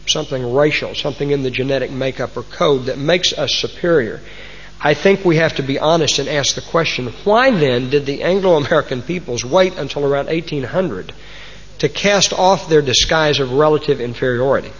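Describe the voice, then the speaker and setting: Folksy Texan preacher in an echoing hall. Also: male voice, fairly high pitch, animated, moderate pace, husky. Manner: folksy, homespun sayings. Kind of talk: sermon to a congregation. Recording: muffled recording in a large reverberant hall.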